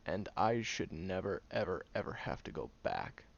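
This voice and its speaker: A man playing a prickly, guarded young loner who grudgingly cares, in an intimate one-sided audio roleplay, sardonic and hesitant with breathy murmurs. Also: sits low, very animated, moderate pace, heavily acted. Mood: exasperated, defensive. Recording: muffled, lo-fi, noticeable background hiss.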